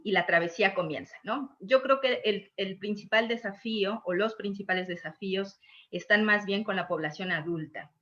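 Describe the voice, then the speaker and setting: A woman talks in a panel discussion with a mid-pitched voice, thoughtful and searching for her words as she goes. She often closes a thought with a small tag question. The sound is muffled and lo-fi, like a call. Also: a bit bright. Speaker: panelist who promotes reading with young children.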